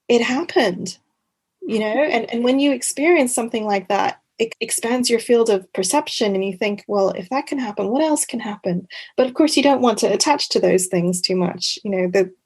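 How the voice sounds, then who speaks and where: A woman's voice: a little high, normal speed, a little mellow, slightly rough. A woman from Montreal speaking as an interview guest in a reflective, conversational way, earnest and often rephrasing mid-thought. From Montreal, Canada. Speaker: interview guest, author and journalist.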